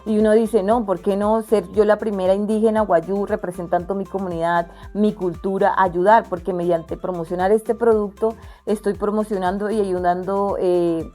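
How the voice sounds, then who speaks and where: Young wayuu woman from la guajira, mellow, soft music underneath, earnest, halting with restarts. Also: mid-range voice, steady tone. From La Guajira, Colombia. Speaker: interviewee, artisan entrepreneur. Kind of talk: casual interview.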